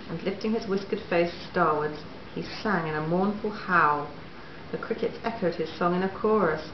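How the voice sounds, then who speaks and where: A woman reading a children's story aloud as a narrator. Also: on the low side. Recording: echoey room, muffled, faint noise.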